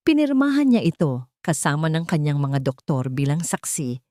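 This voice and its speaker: A woman's voice: low-pitched, very animated, moderate pace, warm tone, dry recording. A composed male family lawyer from a romance audio drama, read by a woman narrator. He states terms politely and formally, without hurry. The recording is dry. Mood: calm, formal.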